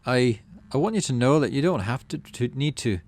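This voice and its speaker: A man voicing a gentle father in a game, offering hesitant, tender reassurance to his child. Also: medium pitch, expressive, moderate pace, voice pushed up, natural voice.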